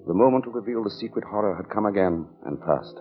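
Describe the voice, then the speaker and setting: A man's voice: lowish voice, lively intonation, fast talker, over music, lightly acted. A man narrating a suspenseful 1940s radio thriller in a grave, dramatic manner. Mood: grave, mounting dread. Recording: dull, noisy vintage radio recording.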